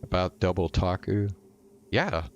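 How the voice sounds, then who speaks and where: Pes sesli, ağır konuşan erkek yayıncı. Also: male voice, low voice, expressive, unhurried, muffled recording, a little hiss or hum. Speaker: streamer. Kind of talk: live read-aloud narration of a visual novel.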